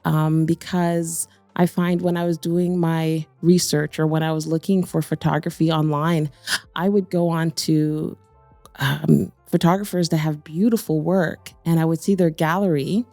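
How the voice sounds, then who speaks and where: A middle-aged woman, a photographer born in Guyana, telling her story in an interview in a reflective, unhurried way. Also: low voice, fairly even intonation, mellow, no room sound, soft background music. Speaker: photographer guest. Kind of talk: interview conversation.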